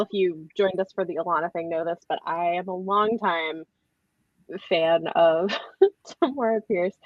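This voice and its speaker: Excited lady hosting a chatty livestream, kinda dull dry mic. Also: on the low side, dry recording. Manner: enthusiastic, effusive. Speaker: host of a book readalong live show. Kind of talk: casual chat. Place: indoors, online group live stream.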